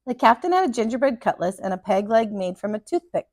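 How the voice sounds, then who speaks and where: A woman reading a storybook aloud for children in an animated, dramatic teacher's style. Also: on the high side, fast talker, no echo, studio quality.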